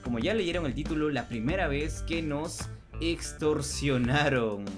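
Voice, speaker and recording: A man's voice: fairly high pitch, a little slow, over music. A young man co-hosting a channel, telling a story in a casual chat. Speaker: co-host of a channel.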